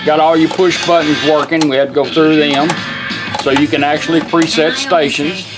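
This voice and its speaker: Folksy man, slightly high voice. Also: steady tone, moderate pace, loud music behind the voice, rough recording. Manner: folksy, plainspoken. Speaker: radio restorer demonstrating a product. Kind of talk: casual product demonstration.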